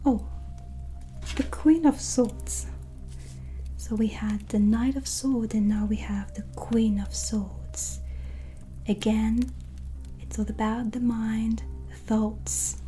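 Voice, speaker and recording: Casting: non-native English-speaking woman, breathy soft-spoken ASMR, gentle witch tarot reader, mellow and unhurried. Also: medium pitch. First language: not English. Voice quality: breathy, whispered. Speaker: ASMR roleplayer giving a tarot reading. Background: soft relaxing music.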